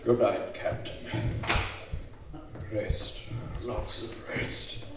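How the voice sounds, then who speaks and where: A man acts out a dying old scientist in an old-time radio play, bitter with vengeance and sorrow. The delivery is slow, solemn and theatrical, heard from a distance in a very echoey hall. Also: fairly low pitch, fairly even intonation, very noisy. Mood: grim. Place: live on stage in a community hall.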